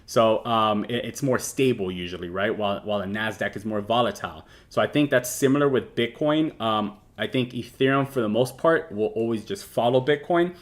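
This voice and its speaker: A man's voice: lowish voice, brisk, few pauses. A man in his thirties hosting a casual crypto livestream, talking loosely with lots of fillers and restarts. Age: 30s. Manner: rambling with many fillers and restarts. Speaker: crypto show host.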